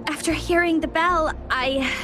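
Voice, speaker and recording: A woman's voice: high voice, measured pace, over music. A woman voicing an anxious, earnest young explorer in a video game. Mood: fearful.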